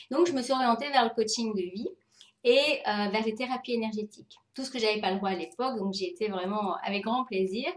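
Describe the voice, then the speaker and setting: A woman in her forties from France, an interview guest talking casually and telling personal stories in a roomy space. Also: mid-range voice. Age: 40s. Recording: roomy, echoey sound.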